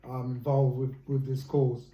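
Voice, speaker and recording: A man's voice: medium pitch, flat delivery, normal speed, echoey room, muffled recording, a little hiss or hum. A man leading a friendly coaching session, upbeat and joking about himself. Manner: upbeat, joking and self-mocking. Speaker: life coach.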